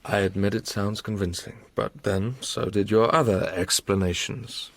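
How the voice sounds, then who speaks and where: A man's voice: fairly low pitch, steady tone, moderate pace, no echo. An actor playing a frustrated, sceptical young man in a radio drama, speaking directly and challengingly. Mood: sceptical, impatient. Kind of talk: radio drama dialogue acting.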